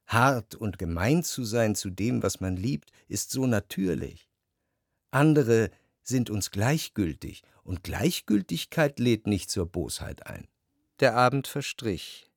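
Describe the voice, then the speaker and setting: A man playing a reflective, jealous lover who narrates his memories in measured literary prose for a radio drama. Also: fairly low pitch, sing-song, gravelly, no echo, clean studio sound, full character voice. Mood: brooding reflection.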